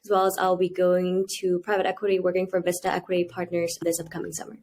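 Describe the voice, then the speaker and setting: A woman's voice: fairly low pitch, monotone, fast talker, a little mellow, a little hiss or hum. A young woman from Thailand, a university student, talking earnestly in a casual interview, with a lot of "you know" and "like". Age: 20s. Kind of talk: casual video-call interview.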